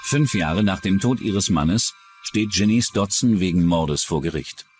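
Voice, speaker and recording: A man narrating a scripted true-crime documentary in a measured, dramatic storytelling manner. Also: low-pitched, faint music behind.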